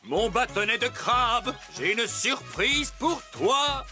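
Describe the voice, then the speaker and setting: A man voicing a gruff farmer in a children's cartoon, blustering and then gushingly sugary. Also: very high voice, sing-song, slow pace, exaggerated voice. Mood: affectionate. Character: gruff male farmer, menacing yet doting husband. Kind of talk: French children's cartoon dialogue. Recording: music under the voice.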